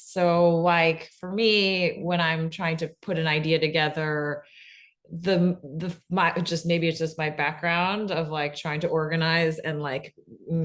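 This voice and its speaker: A woman's voice: low-pitched, animated, a little slow. A woman speaking as an expert guest in a casual panel talk, explaining things informally and often saying "like" and "you know". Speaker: expert guest on pitching audio drama.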